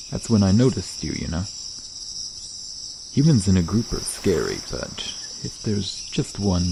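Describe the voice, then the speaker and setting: A man voicing a curious, gentle, shy mer-shark in an ASMR roleplay, speaking casually and hesitantly, often trailing off. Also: low voice, gravelly, noisy background, full character voice. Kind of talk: ASMR roleplay, soft conversational acting to the listener. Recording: nature ambience with chirping insects. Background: crickets and outdoor night ambience.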